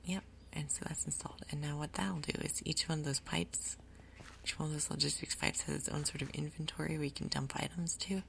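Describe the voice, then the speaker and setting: A woman speaks very low and softly, with a whispery edge and plenty of vocal fry. She narrates her gameplay casually in an ASMR style, unhurried and thinking aloud, with little movement in pitch. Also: fairly even intonation, a little hiss or hum. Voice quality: soft, whispery, breathy undertone. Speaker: gaming video host. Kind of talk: soft-spoken ASMR Minecraft gameplay commentary.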